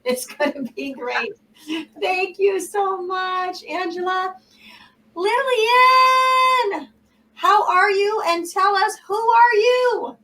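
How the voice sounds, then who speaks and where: A woman's voice: very high-pitched, slow, pushed and bright, far from the mic. An American woman hosting an online talk show, effusive and enthusiastic. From United States. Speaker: show host.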